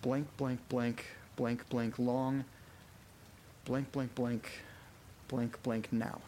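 A man playing a frightened character trapped in a haunted house, muttering to himself haltingly and swearing in a horror audio drama. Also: mid-range voice, level tone, dark tone, slight background noise. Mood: tense puzzling.

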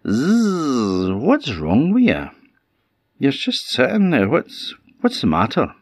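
A man voicing a brisk, bossy but helpful bumblebee in a folk tale, chiding and starting with a buzz. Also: mid-range voice, wildly swooping pitch, breathy edge, dry recording, clean studio sound, voice pushed up, full character voice. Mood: brisk, curious. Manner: buzzing lead-ins, matter-of-fact chiding. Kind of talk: oral folk storytelling.

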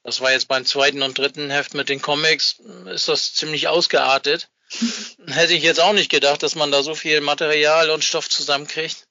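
A middle-aged man chatting casually as an interview guest, speaking haltingly and often searching for his words. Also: fairly high pitch, little pitch movement, bright, forceful tone, no echo, muffled recording. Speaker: interview guest, fan magazine maker.

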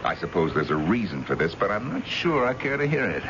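An actor playing a suspicious, callous husband in a radio drama, with a mock-genial, needling manner. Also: male voice, sits low, sing-song, brisk. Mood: cold menace. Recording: muffled, noisy old radio broadcast.

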